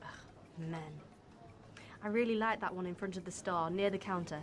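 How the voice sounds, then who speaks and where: A woman's voice: on the low side, normal speed, natural voice. Woman as cheeky female crook, faint music behind. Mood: coaxing. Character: cheeky, quick-witted female thief posing as sweet fiancée. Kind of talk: playful radio drama acting.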